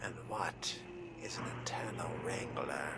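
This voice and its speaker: Deep-voiced man, pompous and unhurried, in-character game commentary over audible background noise. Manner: pompous, disdainful, formal wording. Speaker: player narrating a game as his character.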